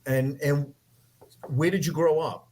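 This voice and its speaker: A man's voice: fairly high pitch, steady tone, slow pace, warm tone, slight background noise. A man hosting a comedy podcast, laid-back and joking with his guest in casual chat. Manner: laid-back, joking, drinking while talking. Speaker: podcast host.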